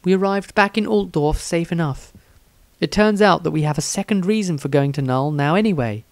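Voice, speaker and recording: A man's voice: on the high side, a little fast, dry recording, close to an everyday voice. A man voicing an earnest female adventurer, matter-of-fact, in a storybook diary.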